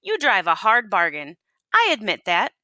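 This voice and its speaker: A woman voicing a fast-talking male coyote in a Western storybook, persuasive, with a folksy cowboy drawl. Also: medium pitch, huge pitch swings, bright, forceful tone, dry recording. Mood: wheedling.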